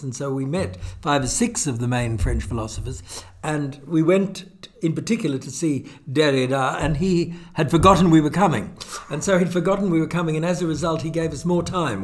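An older man, a guest philosopher holding forth in a wry, expansive academic conversation. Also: medium pitch.

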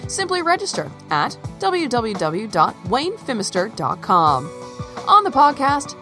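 Lady reading a podcast intro, swoopy lively voice, music clearly under it. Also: medium pitch. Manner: polished announcer delivery. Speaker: podcast announcer. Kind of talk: scripted intro read. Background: music bed.

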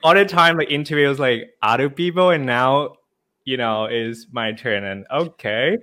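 Male guest, Thai accent, non-native English, halting and word-searching. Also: medium pitch, pushed and bright. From Thailand. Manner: searching for words, hesitant, often says "how to say". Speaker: Thai teacher and translator, guest. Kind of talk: casual podcast chat.

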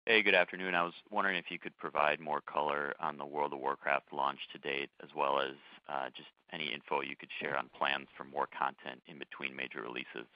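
A man's voice: rumbling low, little pitch movement, a little fast, no room sound. A man calling in as a financial analyst to ask a polite question on an earnings call. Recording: dull, dry conference call audio.

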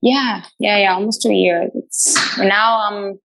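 Woman guest from Latin America, gravelly chatty delivery full of fillers. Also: mid-range voice, steady tone, slow, a bit bright, rough recording. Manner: chatty, many fillers and restarts, laughs often. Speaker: radio show host, guest. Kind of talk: casual interview answers.

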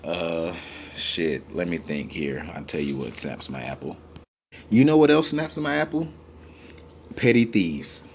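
A man with a naturally deep, low-pitched voice rants to camera in a comic, rambling way. He repeats himself, swears freely and leans on fillers and restarts. A faint steady hiss sits under his voice. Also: unhurried, dull audio. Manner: rambling, repetitive, profane, full of fillers. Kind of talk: comedic rant to camera.

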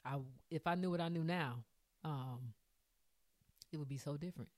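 A woman's voice: low voice, normal speed, no room sound. A woman in her forties, a podcast guest, speaking reflectively and earnestly with frequent restarts. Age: 40s. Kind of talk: casual group conversation.

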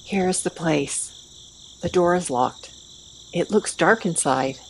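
Woman as a low-voiced, calculating vigilante, noisy background. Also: slow pace. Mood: watchful. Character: confident, calculating female vigilante leading a stealth raid. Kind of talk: amateur audio play acting. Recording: steady noise behind the voice.

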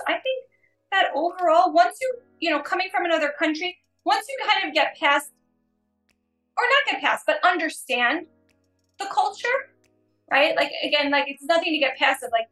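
A woman's voice: high-pitched, bright, forceful tone. American woman in a roomy, echoing space. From United States. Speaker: podcast guest. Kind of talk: conversational interview answers.

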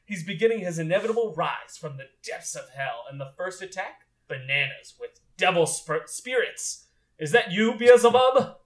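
A man's voice: high-pitched, expressive, normal speed. A man playing a bewildered, sarcastic man losing his grip in a comedy script, with an exasperated, dramatic delivery. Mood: paranoid ranting.

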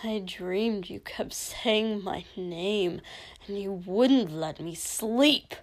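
Storybook role: erratic, taunting young man with a venomous edge, slowly paced, performed by a woman. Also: a little high, sing-song, exaggerated voice. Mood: petulant, venomous. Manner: erratic, swinging from hissed and dreamy to sharp and snapping. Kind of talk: dramatic audiobook-style character reading.

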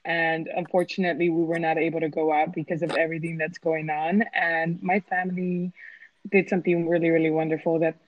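A woman's voice: low voice, level tone, fairly quick, no room sound, highs cut off. A woman in her twenties co-hosting a casual student chat, playful and giggly, often saying dude. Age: 20s. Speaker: podcast co-host.

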